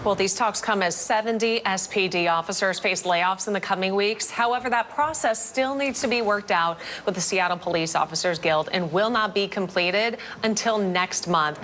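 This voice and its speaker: A woman reads morning news as an anchor, steady and measured. Her voice sits in a middle register. A faint hiss lingers under the studio sound. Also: mid-range voice. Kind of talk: scripted news reading.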